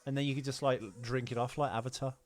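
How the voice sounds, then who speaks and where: A man co-hosts a film podcast with an opinionated, teasing manner. His voice sits at an average pitch, and music plays faintly behind his casual talk. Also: level tone, fast talker. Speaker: podcast co-host. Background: film soundtrack music.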